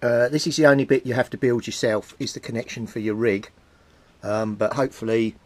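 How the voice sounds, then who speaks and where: A man's voice: medium pitch, a little mellow. A man with a British accent presenting an informal hands-on demonstration in a plain-spoken, explanatory way. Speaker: hobbyist video presenter. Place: small indoor hobby workshop.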